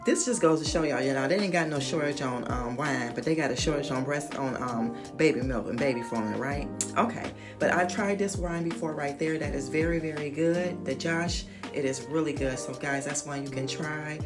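Middle aged lady vlogging on her phone, lowish voice, folksy y'all chatter, music playing behind. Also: a little fast, few pauses. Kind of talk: casual shopping vlog. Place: store aisles and parked car. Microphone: handheld phone camera.

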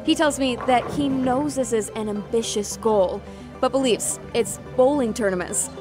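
A young adult woman reporting a local TV news story, with a measured, earnest delivery. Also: fairly high pitch, over music. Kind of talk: news report narration and interview.

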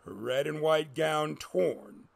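A man's voice: fairly high pitch, slow, creaky. A man reading a scripted story as a dramatic narrator.

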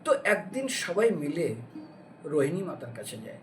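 A man's voice: high voice, sing-song, normal speed, a little hiss or hum. A man preaching in a devotional storytelling style, explaining scripture and asking rhetorical questions as he goes. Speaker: religious preacher giving a devotional lecture. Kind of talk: devotional storytelling sermon with recited scripture verses. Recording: distant microphone with music behind.

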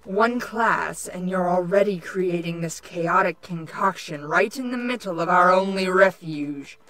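Woman as a lowish-voiced, haughty insect queen. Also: unhurried, over a noisy crowd, lightly acted, rough recording. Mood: sardonic, scolding. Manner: sardonic, scolding, imperious. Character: haughty, irritable adult changeling queen villain. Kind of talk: animated-style fan audio drama.

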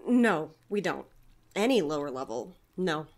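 A woman's voice: low voice, sing-song, a little slow, heavily acted. A woman playing an evasive, chatty community center manager in a naturalistic audio drama, hesitant yet earnestly explanatory. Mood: hesitant, sheepish.

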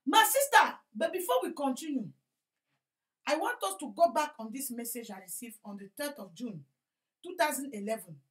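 A woman preacher introduces her sermon from a studio set. Her voice is high, and she drives key phrases loudly before dropping into quieter lines. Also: expressive, moderate pace. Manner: emphatic preaching, swinging from loud to quiet.

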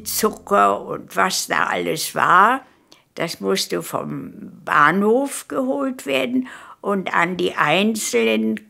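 A woman of about 100 from Königsberg in former East Prussia, reminiscing in an unhurried, halting way in a documentary interview. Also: mid-range voice, creaky, fairly bright tone. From Königsberg, East Prussia, Germany. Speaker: documentary interviewee, eyewitness recalling her life. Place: furnished living room, indoors.

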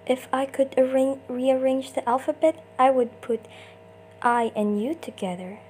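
A woman's voice: high-pitched, measured pace, darkish tone, slight background noise. A woman doing an amateur comic dub, acting out short character lines theatrically in switching voices.

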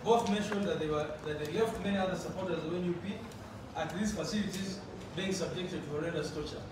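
Man, formal committee testimony, measured delivery, far from the mic, noisy hall echo. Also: high-pitched. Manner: measured reading, addressing the chair. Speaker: party representative presenting to a parliamentary committee. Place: large wood-panelled committee room. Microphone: far camera. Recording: echoey room recorded from afar with noise.

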